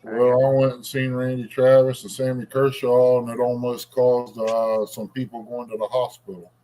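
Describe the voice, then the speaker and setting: A man's voice: medium pitch, little pitch movement, mellow, a little hiss or hum, poor audio. A man hosting a live chat stream, telling rambling stories with plenty of fillers. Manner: rambling storyteller, halting with fillers. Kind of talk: casual chat.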